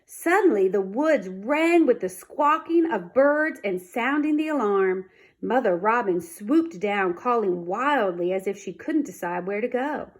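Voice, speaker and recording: A woman reading a children's storybook aloud in an expressive, dramatic manner. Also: mid-range voice. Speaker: storybook reader.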